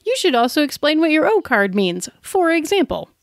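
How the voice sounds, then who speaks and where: Woman, slightly high voice, vocal fry, casual explanatory podcast co-host, close dry mic. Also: very animated, moderate pace, no room sound. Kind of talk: casual chat about tabletop game advice.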